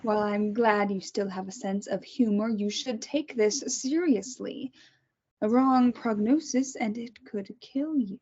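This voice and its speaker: A woman voicing a calm, clinical woman who holds a captive and experiments on her, reading in a table read. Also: mid-range voice, unhurried, breathy edge. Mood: cold. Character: coolly menacing woman doctor-kidnapper Beth. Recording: dull lo-fi recording.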